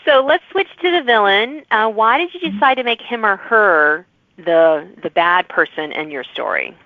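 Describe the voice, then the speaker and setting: A woman's voice: medium pitch, expressive, normal speed, no room sound. Woman podcast host, dry lo-fi sound. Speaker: podcast host interviewing authors. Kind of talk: conversational interview.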